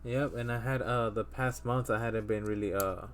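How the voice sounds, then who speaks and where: A man in his thirties hosts a relaxed podcast, talking in a rambling way full of fillers and false starts. His voice sits fairly low, and faint noise hums under the recording. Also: normal speed. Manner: rambling, many fillers and restarts. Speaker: podcast host. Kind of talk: casual chat.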